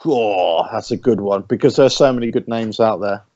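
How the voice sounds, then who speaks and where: A man's voice: lowish voice, moderate pace, dark tone, dry recording. Bloke giving his football takes, lots of i think and restarts, dry dull-ish audio. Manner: opinionated, full of hedges and restarts. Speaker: fan panelist. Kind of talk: casual football discussion.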